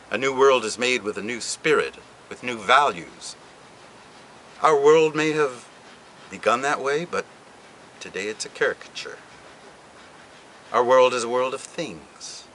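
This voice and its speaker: A man reading a book passage aloud, with an expressive, deliberate delivery and dramatic pauses. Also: medium pitch, slight background noise, rough recording. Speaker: reader. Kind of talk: literary reading.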